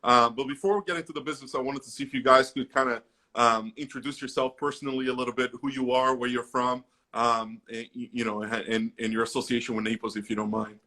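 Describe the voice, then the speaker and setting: A man with a bright, forceful voice hosts a relaxed local business interview. He talks loosely, stacking fillers and restarting his sentences as he goes along. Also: medium pitch, fast. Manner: chatty, many fillers and restarts. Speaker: host. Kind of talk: casual interview.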